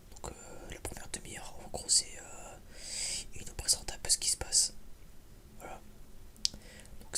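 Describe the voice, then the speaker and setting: A man whispers a casual ASMR film review in a hesitant, rambling, informal way. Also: bright, forceful tone. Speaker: video creator giving a film review.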